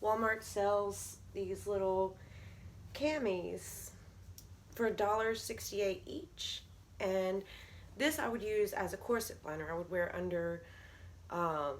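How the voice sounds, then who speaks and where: A young woman losing her voice, chatting casually to camera in a rambling way, with lots of ums and restarts. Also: medium pitch. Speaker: vlogger. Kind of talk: casual product talk to camera.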